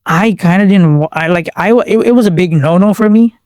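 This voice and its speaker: A man's voice: high voice, fairly quick, no echo, studio quality. A young man from Bangladesh, chatting casually as a guest with lots of fillers and restarts. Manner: relaxed slangy talk full of fillers and restarts. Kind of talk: casual chat.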